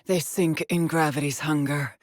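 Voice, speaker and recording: A woman's voice: deep voice, steady tone, normal speed, dry recording, clean studio sound, lightly acted. An actress voicing a haunted, determined female astronaut scout in a video game, with terse, introspective, formal phrasing. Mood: focused, clinical. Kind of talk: dramatic video game monologue and log entries.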